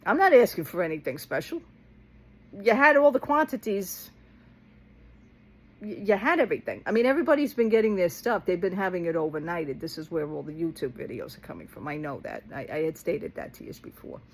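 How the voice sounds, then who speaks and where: Blunt woman with a lowish voice, venting casually. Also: lively intonation, a little fast, poor audio. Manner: blunt, exasperated, colloquial, re-enacting both sides of conversations. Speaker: vlogger talking to followers. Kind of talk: casual rambling rant and storytelling.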